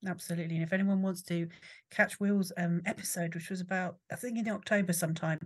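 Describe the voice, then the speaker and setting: A woman hosting an online panel talk, brisk and encouraging, with frequent mms. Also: fairly low pitch, fairly even intonation. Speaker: host.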